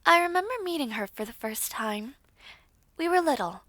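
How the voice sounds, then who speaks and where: A woman acts out a young princess in an audio roleplay, very high in pitch and closely, dryly recorded. She is awkward and apologetic, choosing formal words with hesitant courtesy. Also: animated, moderate pace, fairly bright tone, no echo. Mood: tentative, wistful.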